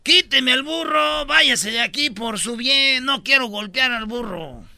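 Sketch comedy: male performer as cartoonish bossy Mexican queue guard, extremely high-pitched, gravelly creak, slangy scolding. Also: animated, a little slow, pushed and bright, exaggerated voice. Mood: threatening. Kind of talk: broad comedy sketch acting.

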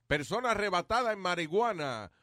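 A man hosts a call-in radio show, his voice bright with a lot of movement in pitch. He jokes and curses casually with callers. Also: fairly quick. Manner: animated, joking, swears casually. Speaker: radio host.

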